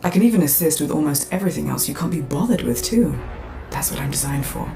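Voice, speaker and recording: A woman's voice: low voice, lively intonation, quick pace, gravelly, roomy sound, over music. A woman voicing a secretive, world-weary female starship AI who speaks in a dry, wry and guarded way. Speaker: ship AI character in a voice pack.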